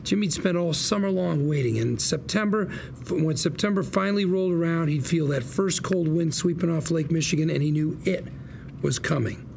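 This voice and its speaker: A man's voice: on the high side, brisk, vocal fry, a little mellow, a little hiss or hum. An actor playing a scheming, sarcastic lawyer with a folksy salesman's patter at a script table read. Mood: storytelling, wistful. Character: scheming, sarcastic younger brother, a slick fast-talking lawyer.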